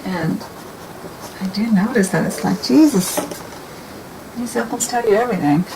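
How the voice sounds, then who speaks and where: A woman talking casually and thinking aloud as she paints, with a soft, rambling manner. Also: medium pitch, normal speed, gravelly, background noise. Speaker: painting instructor. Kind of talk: casual painting demo talk.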